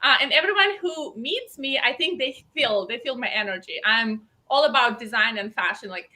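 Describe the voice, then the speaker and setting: A woman's voice: fairly high pitch, lively intonation, normal speed, pushed and bright. A woman from Belarus with a Belarusian accent, a gown designer talking eagerly and emphatically as an interview guest. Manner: enthusiastic, emphatic repetitions. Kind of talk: casual interview talk.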